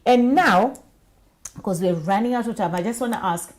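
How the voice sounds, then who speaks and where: A woman hosting a casual panel talk, telling stories with lively acted-out voices. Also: medium pitch, moderate pace. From Kenya. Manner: animated storytelling, acts out voices. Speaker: talk show host.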